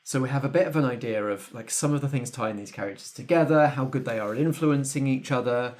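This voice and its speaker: A man's voice: medium pitch, fast, darkish tone. A man speaking conversationally as game master, explaining the rules of a tabletop game to his players.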